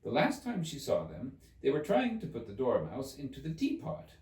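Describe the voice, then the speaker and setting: A man reading a classic children's story aloud as expressive scripted narration. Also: medium pitch, normal speed. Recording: roomy echo, distant mic.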